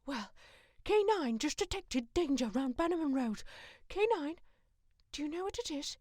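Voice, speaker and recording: A woman playing a determined, protective investigator in an audio drama, brisk and emotive. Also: sits high, lively intonation, darkish tone, no echo, studio quality. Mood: brisk, concerned. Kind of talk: fan audio drama acting.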